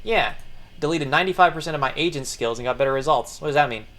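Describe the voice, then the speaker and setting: A man giving casual, profane running commentary, muttering and then breaking into sudden loud outbursts, his throat strained. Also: a little high, fast talker. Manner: swears a lot, mutters, jumps to loud outbursts. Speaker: streamer reacting to videos.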